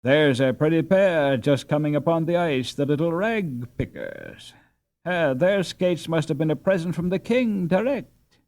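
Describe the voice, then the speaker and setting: A man's voice: on the high side, animated, measured pace, a little mellow, pitched above the performer's own voice. A man voicing a haughty, mocking schoolboy in a storybook, with a sneering, sarcastic manner.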